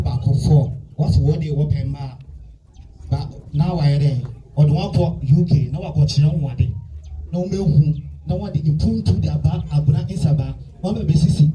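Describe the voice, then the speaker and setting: A man's voice: on the high side, warm tone, lightly acted. Muffled, echoey man acting on stage. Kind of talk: live outdoor community stage drama. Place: open palace courtyard, outdoors. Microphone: handheld microphone, heard from camera distance. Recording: echoey, distant handheld mic over PA.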